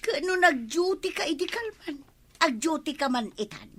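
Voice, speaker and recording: An actress with an Ilocano accent playing an excitable, nagging village woman in a radio comedy drama. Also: high-pitched, moderate pace. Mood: bickering.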